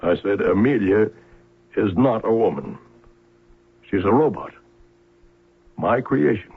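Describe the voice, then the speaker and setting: A gravelly older scientist, raspy and theatrical on a muffled vintage broadcast. He is a proud, embittered schemer who gives orders deliberately, his pitch swooping widely. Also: expressive, exaggerated voice. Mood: grave, reluctant. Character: bitter, proud older male inventor and uncle, coldly scheming. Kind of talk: old-time radio drama acting. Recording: lo-fi, muffled old radio broadcast.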